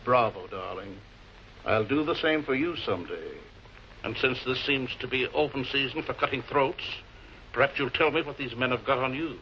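A man's voice: mid-range voice, normal speed, natural voice. A man playing a suave, witty, unruffled murder suspect in a 1940s television crime drama, with arch, dry sarcasm. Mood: sarcastic, needling. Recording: muffled, noisy old film soundtrack.

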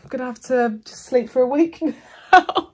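Gushing, higher-pitched woman vlogger. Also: normal speed, darkish tone. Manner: gushing, effusive. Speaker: beauty vlogger. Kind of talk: casual chatty vlog to viewers. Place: indoors, rooms of a house.

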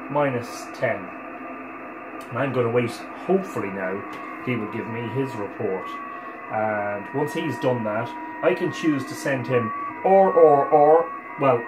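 A man in his forties with an Irish accent casually explains a demonstration, thinking aloud as he works in a relaxed way. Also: mid-range voice, echoey room, noisy background. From Ireland. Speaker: amateur radio operator presenting. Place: small home radio room, indoors. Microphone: camera a couple of metres away.

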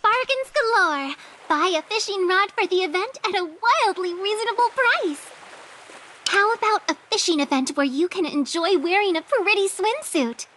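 A woman voicing a perky angel-girl shopkeeper in an anime game, pitching bargains in a bubbly, salesy way. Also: animated, normal speed, bright, forceful tone, full character voice.

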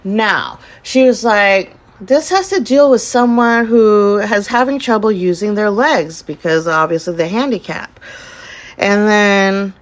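A woman's voice: mid-range voice, measured pace. Chatty woman telling a casual story. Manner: chatty, acts out quoted remarks. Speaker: podcast host. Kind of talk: casual first-person storytelling.

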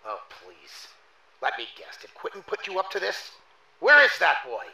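A man's voice: high-pitched, wildly swooping pitch, normal speed, vocal fry, pushed and bright, full character voice. Guy doing stuffy horror-drama dean, frightened and huffy, piercing swoopy voice, roomy echo. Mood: scornful. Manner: pompous, stammering, pleading. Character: pompous, indignant old-fashioned university dean, frightened and bewildered. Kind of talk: analog horror drama acting. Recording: echoey room with faint noise.

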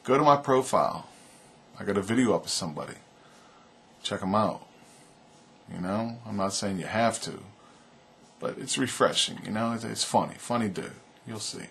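Man in his thirties, low loud voice, earnest rambling vlogger, webcam in a small room with faint hiss. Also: expressive, moderate pace, vocal fry, long pauses. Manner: rambling, earnest, leans on "man" and "you know". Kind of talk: casual opinion vlog to camera. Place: small indoor room, seated on couch.

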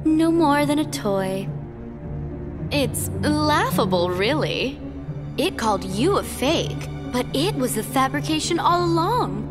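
Gloating young villainess. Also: female voice, high-pitched, sing-song, over loud music, heavily acted. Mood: mocking. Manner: taunting, gloating, slow and teasing. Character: cruel mocking young woman villain. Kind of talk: video game voice acting. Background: loud game score.

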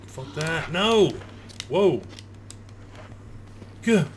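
Animated man commentating a video game, noisy background. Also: a little high, drawn-out words. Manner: informal, profane, talking to himself. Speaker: gamer recording a playthrough. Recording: clear background noise, game ambience.

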